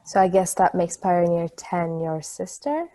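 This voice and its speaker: Woman acting a patient, mellow-voiced friendly woman. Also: on the low side, expressive. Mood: gentle, reassuring. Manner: reassuring, conversational, unhurried. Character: friendly, patient woman, gently encouraging newcomer. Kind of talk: stageplay table read.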